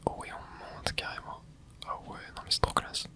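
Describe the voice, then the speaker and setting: A host whispering casual ASMR commentary over gameplay, reacting excitedly and chatting to viewers. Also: normal speed. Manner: casual, chatty, self-correcting. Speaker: channel host.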